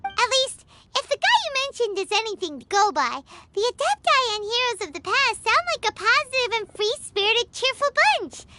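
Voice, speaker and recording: A woman's voice: extremely high pitch, very animated, normal speed, background noise. A woman voicing a small, cheerful, perky fairy-like girl companion in a video game, with informal, chirpy delivery. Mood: upbeat, reassuring.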